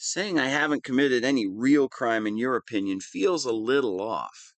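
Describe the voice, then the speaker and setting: A man's voice: medium pitch, expressive, normal speed, no room sound, lightly acted. A man playing a whiny, lovestruck young wannabe villain in an audio roleplay, petulant and defensive. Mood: sheepish, hesitant. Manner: petulant, defensive, swinging between sheepish mumbling and indignant outbursts. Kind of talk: scripted audio roleplay monologue.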